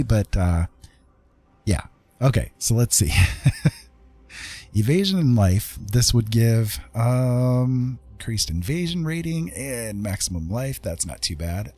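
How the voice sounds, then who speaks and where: A man's voice: on the low side, mellow, husky. A middle-aged man casually commentating as he plays a game live, friendly and rambling, often talking to himself. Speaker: game streamer.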